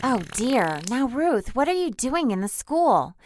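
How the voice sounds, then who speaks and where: A woman's voice: sits high, sing-song, a little slow, a little mellow, slight background noise. A woman acting a sly, predatory alien beast disguised as a schoolgirl in an audio drama, mock-polite and threatening. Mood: cold threatening.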